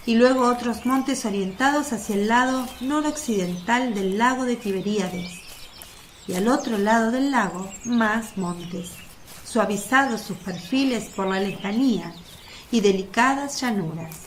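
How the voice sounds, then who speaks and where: Woman narrator for a bible radio drama, highish voice, birds faintly behind. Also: unhurried, a little hiss or hum. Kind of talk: scripted dramatic narration of a religious radio play.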